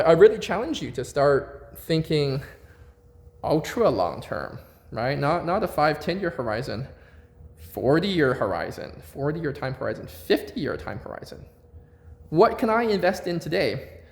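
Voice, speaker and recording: Man, forties, casual vlog monologue, animated, stumbling repeats, echoey room. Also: fairly high pitch. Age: 40s. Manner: animated, repeats words, frequent fillers and "right?". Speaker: vlogger.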